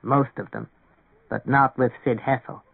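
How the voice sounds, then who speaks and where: A calm grown man in an audiobook, as a narrator acts him out, dropped to a low, unhurried register. He is matter-of-fact and gently reassuring, heard close and dry through a narrow, radio-like band. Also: vocal fry, breathy edge, no echo. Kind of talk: audiobook character dialogue.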